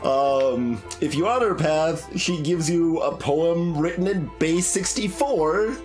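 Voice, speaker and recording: Guy streaming a game, thinking out loud, kinda hesitant and analytical, corrects himself, bit of music behind. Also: on the high side, expressive, slow. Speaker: streamer playing through a game. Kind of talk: casual analytical game commentary, reading text aloud.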